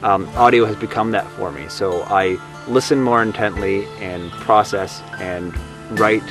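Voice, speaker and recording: A man's voice: fairly low pitch, measured pace, over music. A man speaking off the cuff in a documentary interview, explaining his creative work in a reflective way. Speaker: audio drama producer and writer. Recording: noisy recording with steady hum.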